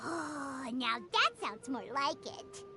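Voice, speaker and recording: Squeaky, very high childlike girl companion. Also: lively intonation, takes it slowly. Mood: curious, musing. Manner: refers to herself in third person, exclaims theatrically. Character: small excitable floating childlike girl companion, indignant and naive. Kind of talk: video game character voice acting. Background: background music.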